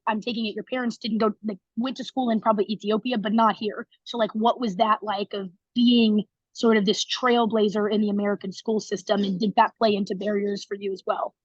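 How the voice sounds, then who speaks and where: A woman's voice: medium pitch, no room sound, muffled recording. A young woman hosting a casual video interview, upbeat and chatty with plenty of fillers. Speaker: interview host.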